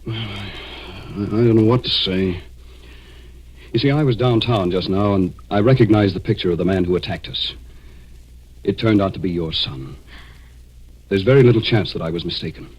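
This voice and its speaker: An actor playing a serious, insistent investigator who questions in a measured way, in 1940s radio drama style. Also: male voice, on the low side, darkish tone, husky, poor audio. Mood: serious, reasoning. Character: grave, insistent male investigator and story narrator. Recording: vintage 1940s radio recording.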